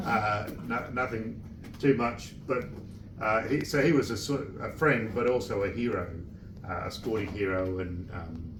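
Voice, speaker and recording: Low-voiced author speaking in an echoey room. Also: male voice, background noise. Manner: relaxed, reflective and plain-spoken. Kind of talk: speaking at a book launch. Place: bookshop event room. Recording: echoey room, distant mic.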